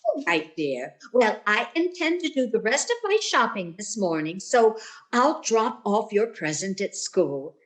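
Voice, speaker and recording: A woman's voice: medium pitch, very animated, unhurried. A woman playing a kindly, motherly older lady in an old-time radio sitcom style.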